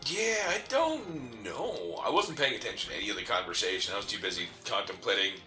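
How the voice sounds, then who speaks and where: A man chatting casually as co-host of a game playthrough, telling stories in an animated way and acting out bits. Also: fairly low pitch, a little fast. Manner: animated storytelling, acts out bits. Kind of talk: casual chat. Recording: game music behind the talk. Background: video game music.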